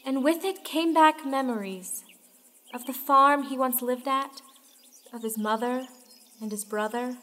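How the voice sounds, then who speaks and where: High-voiced woman narrating a folktale. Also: measured pace, sharp tone. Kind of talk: scripted folktale narration in an audio drama. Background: music.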